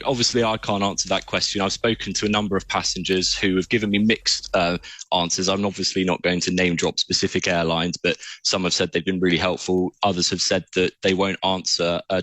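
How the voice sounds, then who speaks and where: A man speaking as a journalist guest in a live news interview, giving measured, careful answers. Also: deep voice, a bit bright, dry recording.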